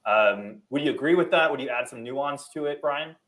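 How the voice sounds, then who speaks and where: Young male panel host, mid pitch, casual and polite, slightly halting delivery on a video call. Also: fairly bright tone. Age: young adult. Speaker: panel moderator. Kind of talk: casual interview moderating. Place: indoor room on a video call.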